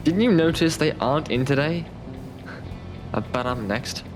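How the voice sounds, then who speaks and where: A man's voice: a little high, normal speed, music in the background, close to an everyday voice. A man playing a tired, self-deprecating call-centre worker who banters drily in an audio drama. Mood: worried. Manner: dry, grumbling banter. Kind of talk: naturalistic audio drama acting.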